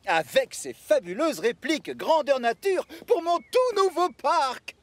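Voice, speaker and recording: An actor playing a theatrical, enthusiastic gentleman in a children's cartoon, with a grandiose, exclamatory manner. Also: extremely high pitch, very animated, a little slow, no echo, exaggerated voice. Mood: excited, proud. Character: theatrical, enthusiastic gentleman opening dinosaur park.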